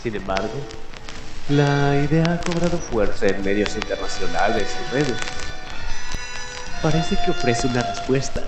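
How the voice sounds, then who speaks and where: A man playing a solemn late-night host of a mysterious radio station, narrating strange news in a deliberate, dramatic way with halting pauses. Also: mid-range voice, expressive, lightly acted. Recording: echoey room with music. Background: music.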